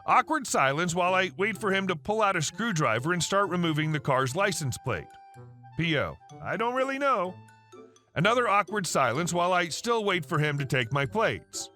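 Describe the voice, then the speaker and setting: A man reading story posts aloud as narrator, in an even, unhurried way. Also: high-pitched, soft background music.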